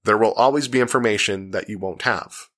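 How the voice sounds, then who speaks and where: A man's voice: lowish voice, fairly quick, a bit bright. A man voicing a stern, guarded commander of covert agents in an audiobook, firm and curt.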